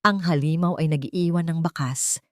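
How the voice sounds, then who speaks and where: Low-voiced woman narrating a dramatic story. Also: moderate pace, darkish tone, no room sound, studio quality. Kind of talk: scripted first-person dramatic narration.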